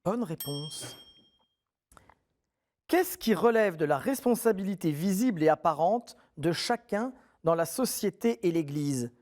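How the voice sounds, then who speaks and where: A man hosting a televised quiz show, reading questions clearly and encouraging contestants in a friendly way. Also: high-pitched, lively intonation, measured pace, darkish tone.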